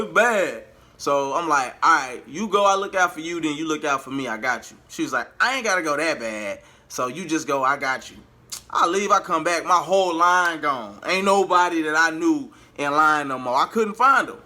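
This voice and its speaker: Casting: American male vlogger, slightly high bright voice, chatty slangy storyteller with animated emphasis. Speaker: vlogger and amateur singer. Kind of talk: casual vlog storytelling in slangy speech.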